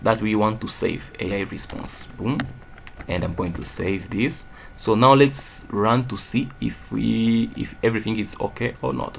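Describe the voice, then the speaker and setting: Man from Angola, low gravelly voice, faint hiss behind, coding tutorial, keeps saying okay. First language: Portuguese. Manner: says "okay?" after nearly every phrase, thinks aloud while typing. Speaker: programming tutor. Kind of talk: screen-recorded coding tutorial.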